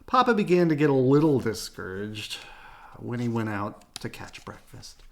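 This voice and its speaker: A man narrates a children's picture book as a read-aloud. His voice has a creaky, gravelly edge and moves up and down expressively through the sentences. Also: medium pitch, very animated, normal speed, slight background noise. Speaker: children's storybook reader.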